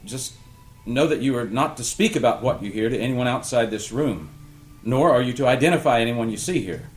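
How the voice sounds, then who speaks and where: Casting: male actor as authoritative, wary mentor; formal, measured, mid-pitched, audio drama with soft music behind. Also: animated, close to an everyday voice. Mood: stern, formal. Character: guarded, authoritative older mentor, courteous but stern. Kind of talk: audio drama cast table read.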